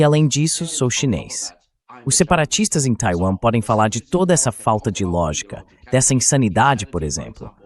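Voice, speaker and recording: A man with a Brazilian accent speaking as a dubbed interview guest, giving political commentary in an emphatic, didactic manner. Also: medium pitch.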